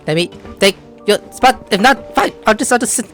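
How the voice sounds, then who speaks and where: A woman's voice: fairly high pitch, normal speed, fairly bright tone, faint music behind. A woman hosting a gameplay video, rambling playfully and casually as she talks back to the characters. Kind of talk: casual reactive game commentary.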